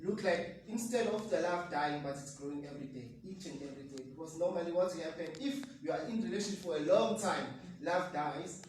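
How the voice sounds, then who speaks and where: A South African man with a Zulu-speaking accent, hosting an online talk show in an energetic, hypey way and slipping into Zulu. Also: fairly high pitch, fairly quick, few pauses. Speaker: host. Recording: echoey room, mic at a distance, light noise.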